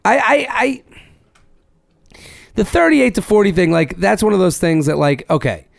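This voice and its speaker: A man's voice: sits high, lively intonation, moderate pace, vocal fry, no room sound, clean studio sound. A man co-hosting a podcast, chatting casually, reading listener emails aloud and swearing freely. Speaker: podcast co-host. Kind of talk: casual chat.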